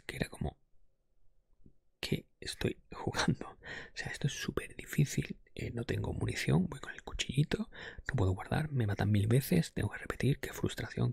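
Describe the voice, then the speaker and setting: Guy from spain doing whispered asmr podcast, super breathy, lowish, casual rambling, close mic. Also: dry recording. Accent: Spain Spanish. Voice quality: breathy, mostly whispered, soft-spoken. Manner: informal, rambling, opinionated. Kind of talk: ASMR, whispered casual video game review. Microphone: close microphone.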